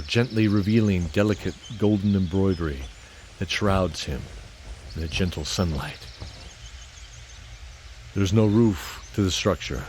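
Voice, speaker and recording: A man narrating a scripted fantasy audio drama in a deliberate, atmospheric storytelling style. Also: low-pitched, darkish tone, a little hiss or hum.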